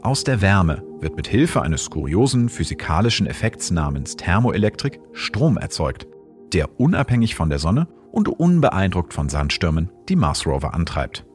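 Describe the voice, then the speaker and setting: Brief: deep male host, lively intonation, calm explainer, faint music behind. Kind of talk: scripted science narration.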